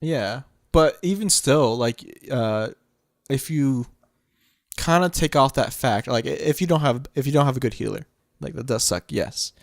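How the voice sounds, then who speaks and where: A man co-hosting a podcast, chatting casually about games, agreeable and quick to say yeah, now and then swearing offhand. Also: mid-range voice, creaky, dry recording, clean studio sound. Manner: agreeable, frequent yeahs, loose swearing. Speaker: podcast co-host. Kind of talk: casual gaming chat.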